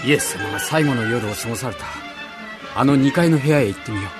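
A man's voice: mid-range voice, fast talker, music in the background, lightly acted. A man playing an earnest, devout disciple in a radio drama, speaking emphatically. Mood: hushed resolve.

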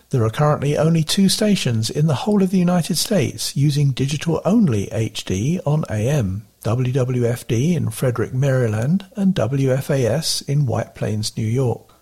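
A man's voice: medium pitch, darkish tone, husky. A man reading a scripted report as a radio correspondent. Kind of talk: scripted narration.

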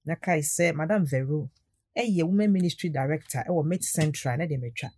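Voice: mid-range voice, fairly quick, darkish tone, a little hiss or hum. A radio talk show host, welcoming guests and often murmuring agreement. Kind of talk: casual radio chat.

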